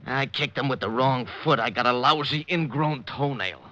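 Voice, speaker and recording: A man's voice: mid-range voice, moderate pace, bright, forceful tone, faint music behind, natural voice. An American actor playing a callous, complaining henchman in a 1940s-style radio crime drama. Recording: dull old radio, faint noise.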